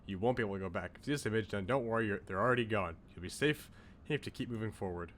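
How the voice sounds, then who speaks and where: A man's voice: lowish voice, racing, a bit bright, slight background noise. A man streaming a video game, chatting casually with his viewers in rambling asides and reading on-screen text aloud.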